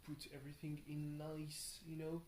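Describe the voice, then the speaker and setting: A tired young man rambles casually through a video diary, halting and thinking aloud, often questioning himself. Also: on the high side, monotone, darkish tone. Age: young adult. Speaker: video blogger. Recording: roomy echo, faint background noise.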